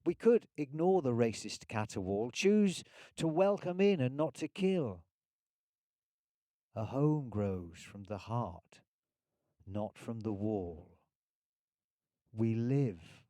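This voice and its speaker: A man reads verse in a mellow, soft-edged voice at a slow pace. His phrasing is deliberate and rhythmic, captured very dry and close. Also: lowish voice, expressive, dry recording. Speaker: poetry reader. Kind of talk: poetry recital.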